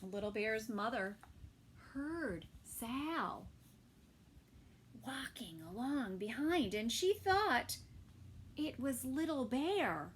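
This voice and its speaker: A woman preschool teacher reading a picture book aloud to young children, adding gentle asides and soft whispered comments. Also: a little high, sing-song, drawn-out words, long pauses, slight background noise.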